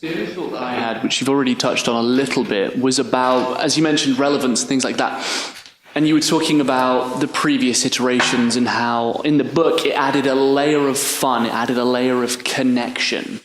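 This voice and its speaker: A man's voice: medium pitch, steady tone, normal speed. A man with a mid-range voice puts a long chain of questions to a panel, one after another. The sound carries an obvious room echo with faint noise behind it. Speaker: audience member asking a question. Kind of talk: panel discussion. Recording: echoey room.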